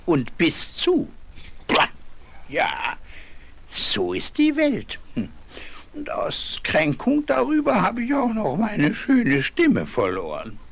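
Old chained dog in a kids fairy tale record, slow and raspy, grumbles and sighs dramatically. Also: medium pitch, huge pitch swings, a bit bright, full character voice. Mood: bitter, grumbling. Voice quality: husky, lost his fine voice. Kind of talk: 1970s German children's fairy-tale audio drama.

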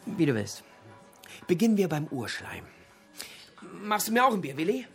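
An actor playing a talkative literature buff in a radio drama, lecturing theatrically in pithy, punchy fragments. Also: male voice, high voice, huge pitch swings, normal speed, a bit bright, soft background music, full character voice. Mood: relishing, theatrical. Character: talkative literature buff holding forth in a pub.

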